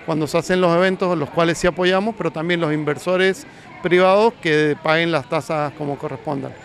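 Higher-pitched Argentine man, interviewed outside. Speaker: city councillor being interviewed. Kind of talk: spontaneous interview answer. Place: outdoors by a building. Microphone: handheld reporter microphone. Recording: outdoor street noise with vehicles.

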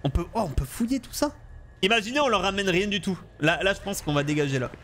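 Expressive high-voiced man commenting on a game. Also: fast, slight background noise. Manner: expressive, excitable. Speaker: gaming video host and role-player. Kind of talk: animated gameplay commentary.

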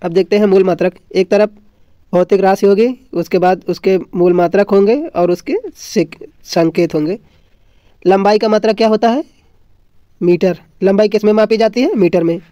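A man's voice: sits high, steady tone, normal speed, dark tone. A man teaching an exam lesson from notes, didactically repeating points and answering his own questions. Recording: dull lo-fi, roomy echo.

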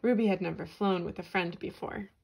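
A woman reading a children's picture book aloud in an unhurried, expressive way. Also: fairly low pitch, gravelly, slightly rough, rough recording. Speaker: storybook reader.

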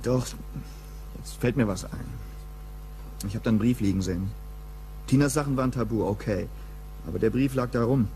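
A man's voice: medium pitch, normal speed, long pauses, warm tone, a little hiss or hum, lightly acted. An actor playing a weary, plain-spoken man, a reluctant witness in a crime radio play, speaking in clipped colloquial phrases. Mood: guarded.

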